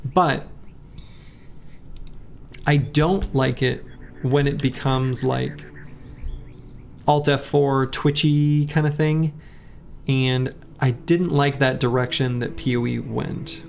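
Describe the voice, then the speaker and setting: A man streaming a game, chatting casually with viewers in a rambling way, his voice a little hoarse. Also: medium pitch, fairly even intonation, slow, long pauses. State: slightly hoarse. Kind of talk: casual live-stream chat while playing. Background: faint game music.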